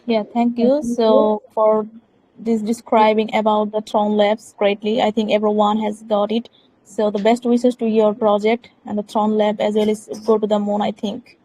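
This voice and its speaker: Flat, mellow-voiced woman hosting. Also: mid-range voice, normal speed, rough recording. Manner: simple repeated phrases, frequent thanks. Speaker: community AMA host. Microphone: video call.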